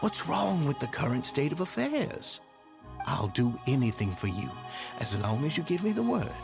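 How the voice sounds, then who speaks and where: An actor with a Southern US accent playing an obsequious, manipulative society leader in a video game, elaborately polite and theatrical. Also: male voice, medium pitch, very animated, moderate pace, creaky. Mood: pleading, manipulative. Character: slick, obsequious, manipulative society leader feigning humble courtesy. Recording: game audio under loud background music.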